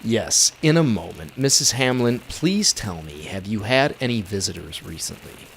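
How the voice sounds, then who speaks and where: An actor playing a cool, tough vigilante hero with clipped delivery in an old-time radio crime drama. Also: male voice, on the low side, lively intonation, moderate pace, gravelly, slight background noise. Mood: calm probing.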